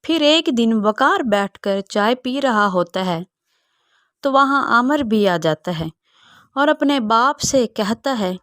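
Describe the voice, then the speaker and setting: A woman's voice: on the high side, expressive, slow pace, a bit bright, no room sound, clean studio sound. A woman narrating a scripted story as its storyteller.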